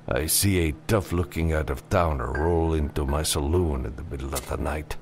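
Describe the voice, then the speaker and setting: A man's voice: rumbling low, moderate pace, a little mellow, slight background noise. A man voicing a large, wary male bartender in an audiobook, blunt and offhand. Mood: amused, wary.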